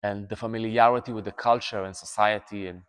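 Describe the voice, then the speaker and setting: A man's voice: on the low side, steady tone, a little fast, a bit bright, clean tone, big-room sound. A man in his thirties with an Israeli accent, a native Hebrew speaker, appealing earnestly to viewers as an activist, restarting words and using many fillers. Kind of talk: talking to camera, appeal to viewers. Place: indoors, seated in a room. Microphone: lapel mic.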